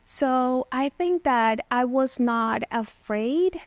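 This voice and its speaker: A woman's voice: sits high, takes it slowly, no room sound. Reflective woman from Venezuela. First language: Spanish. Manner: thoughtful, frequent "um" and "you know". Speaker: therapist guest. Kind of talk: reflective personal storytelling.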